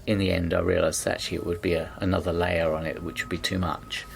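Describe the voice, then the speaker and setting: Deep-voiced, creaky, even-toned man. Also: fast talker. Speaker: scriptwriter. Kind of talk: behind-the-scenes interview.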